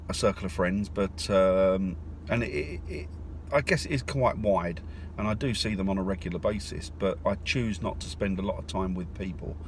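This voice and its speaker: A man from North London chatting casually while driving, rambling with lots of fillers and restarts. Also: deep voice. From North London, England. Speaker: vlogger. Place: in a car in the countryside. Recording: car noise in the background. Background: road and car noise.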